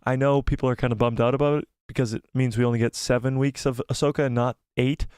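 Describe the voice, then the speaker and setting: An excitable young man hosts a casual live stream, rambling and laughing between comments. His voice sits in a middle range and sounds a little worn, as if he is losing it. The sound is dry and close to the mic. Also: mid-range voice, fast talker, smooth, dry recording. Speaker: YouTube channel host.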